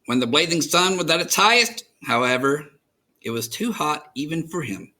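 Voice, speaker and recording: An American man reading a storybook aloud to children as host, in an expressive, dramatic storytelling manner. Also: fairly high pitch, normal speed. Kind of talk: animated storybook narration.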